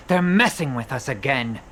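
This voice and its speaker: A woman's voice: a bass voice, animated, measured pace, slight background noise. An actress playing a sardonic, defiant young woman in a video game, with a wry delivery. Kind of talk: English game voice acting.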